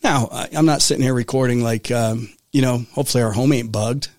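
Male talk host, voice going hoarse, rambling, close dry studio sound; casual political chat. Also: mid-range voice, brisk, darkish tone, dry recording. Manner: rambling, many restarts.

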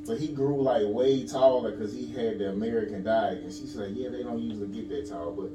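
A man's voice: lowish voice, brisk. A man from Alabama hosting a casual podcast chat, rambling with lots of fillers while he asks questions. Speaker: podcast host. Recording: echoey room, distant mic, background noise.

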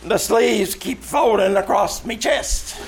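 Slow, creaky, high-voiced lovable oaf. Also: male voice, crowd noise, exaggerated voice. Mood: pleased, earnest. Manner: dopey, halting, childlike. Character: slow-witted, good-natured oafish man, earnest and simple. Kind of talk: old-time radio comedy acting. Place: radio theater stage. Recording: noisy live stage recording.

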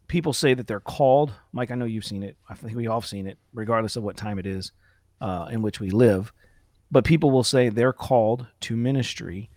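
Slightly hoarse man reading a confession. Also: mid-range voice, no room sound, clean studio sound. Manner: reads aloud, pauses to clear throat. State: slightly hoarse from outdoor preaching. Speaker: co-host discussing a church confession. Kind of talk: Bible study discussion show.

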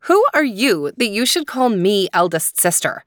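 A proud young woman of folktale, acted out by a female storyteller. Her voice sits higher, with a scornful, dismissive edge and rude impatience. The sound is dry and close. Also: sing-song, slow, fairly bright tone, dry recording. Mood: haughty, annoyed. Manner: scoffing, dismissive.

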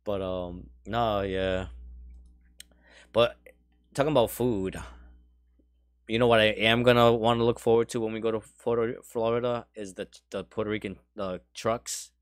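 A man with a fairly low voice talks casually as a podcast co-host. His manner is jokey and easygoing in wording, and the sound is dry, with little room around it. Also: fairly even intonation, a little slow, long pauses, smooth, no room sound. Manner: jokey, laughs often. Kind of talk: casual chat, reading out viewer comments.

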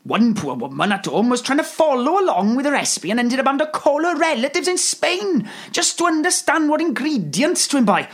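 A woman's voice: high voice, sing-song, a little fast, hardly any pauses. A woman playing a dithering, posh TV producer in a comedy sketch, full of hesitations.

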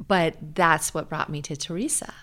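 A middle-aged American woman speaking earnestly and in a measured way as a guest in a reflective personal interview. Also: deep voice, bright, forceful tone. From Hawaii, USA.

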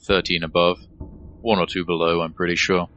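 An actor playing a commanding, level-headed mercenary team leader with a terse, authoritative delivery in an audio drama. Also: male voice, low voice, flat delivery, fairly quick, fairly bright tone, a little hiss or hum. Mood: measured.

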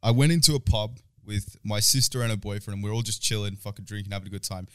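A man's voice: lowish voice, fairly even intonation, quick pace, sharp tone, clean tone. A young man in his twenties, casually telling a swear-filled story with a laugh now and then. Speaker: podcast co-host. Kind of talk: casual chat.

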